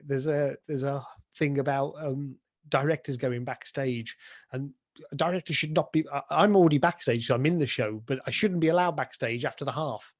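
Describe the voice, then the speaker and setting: Chatty British man answering interview questions. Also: on the high side. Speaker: pantomime dame and director being interviewed.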